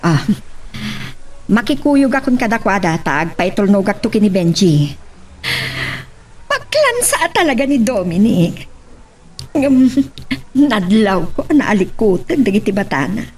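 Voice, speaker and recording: A woman with an Ilocano accent acting a lively woman in a domestic romance radio drama. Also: mid-range voice, unhurried, pauses often. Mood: busy, chatty.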